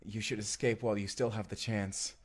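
A man's voice: on the low side, little pitch movement, fairly quick, lightly acted. A man acting as a devoted, sweetly awkward young romantic in an immersive audio roleplay, speaking in an earnest, hesitant, conversational way. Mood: dying, tender.

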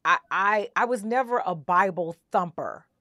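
A woman's voice: medium pitch, normal speed, bright, forceful tone, no echo. A woman talking in an outspoken, playful way in a casual chat.

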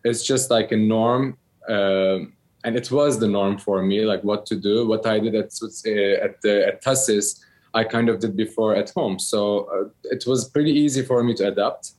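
A man's voice: fairly low pitch, steady tone, moderate pace, a little mellow. A man in his thirties from Turkey, answering interview questions casually with many ums and restarts. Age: 30s. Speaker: interview guest, entrepreneur.

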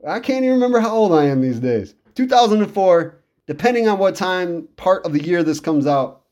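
A man's voice: high voice, expressive, brisk, darkish tone. A man in his thirties giving casual, enthusiastic solo commentary as a podcast host, in a conversational way. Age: 30s.

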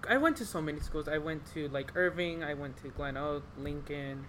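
A teenage girl, a student guest, talking in a rambling, halting way full of fillers. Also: sits low. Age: teens. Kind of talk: casual chat. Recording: noisy room.

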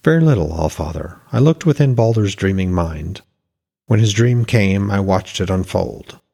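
A man voicing a composed, respectful goddess of magic in a fantasy story, speaking in a measured, deferential way. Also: sits low, animated, vocal fry, mellow, exaggerated voice.

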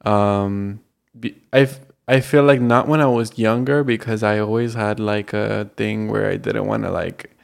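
A man's voice: lowish voice, steady tone, a little slow, gravelly. A young man hosting a casual solo podcast, rambling with lots of fillers. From United States, Colombian family. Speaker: podcast host.

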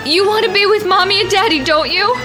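A woman's voice: very high voice, fairly quick. An actress in a radio drama playing a distraught mother desperate for her lost little girl. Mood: urgent coaxing. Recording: radio drama with music behind.

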